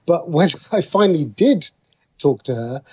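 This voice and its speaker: A man's voice: fairly high pitch, lively intonation, measured pace, dark tone, breathy edge. A man chatting as an interview guest, thinking aloud and often rephrasing as he goes. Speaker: interview guest, TV and radio writer. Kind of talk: casual interview conversation.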